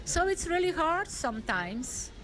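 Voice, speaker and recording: A middle-aged woman with a Polish accent, answering interview questions haltingly with many fillers. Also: very high-pitched, very animated. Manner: hesitant, many fillers, halting non-native phrasing. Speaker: interviewee, former business owner. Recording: crowd noise in background.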